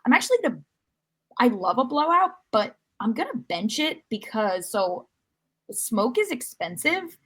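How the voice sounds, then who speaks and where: A woman's voice: on the high side, very animated, measured pace, fairly bright tone, husky. A woman co-hosting a sports podcast, chatting casually and telling rambling, wry stories. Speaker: podcast co-host.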